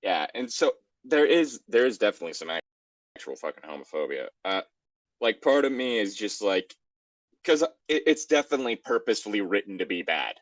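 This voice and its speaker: A man's voice: lowish voice, big pitch swings, fairly quick, dry recording. A man co-hosting a show in casual chat, rambling wryly as he reacts to a story. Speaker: co-host of a fan fiction reading show.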